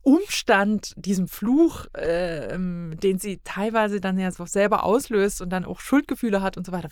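A woman's voice: mid-range voice, a little mellow, no room sound, studio quality. A woman chatting casually as a podcast guest, hesitant and often searching for words. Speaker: podcast guest discussing audio dramas. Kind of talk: casual chat.

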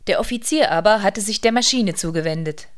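Mid-pitched female radio play narrator. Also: a little fast.

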